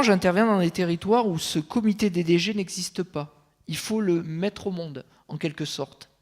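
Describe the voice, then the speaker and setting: A man speaking as a consultant on a conference panel, measured and thinking aloud, with frequent fillers and restarts. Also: high-pitched.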